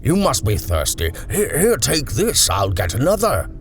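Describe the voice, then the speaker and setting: A man's voice: medium pitch, huge pitch swings, normal speed, faint music behind, voice pushed up. A man voicing a jolly, tipsy old army major who blusters and bounces through his lines. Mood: hearty.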